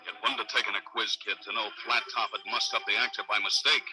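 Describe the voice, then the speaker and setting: An actor playing a tough, brisk American police detective in a clipped, hard-boiled 1940s radio serial style. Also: male voice, on the low side, steady tone, over music, close to an everyday voice. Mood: brisk narrating. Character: tough, brisk male police detective narrating his case. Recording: old 1940s radio recording.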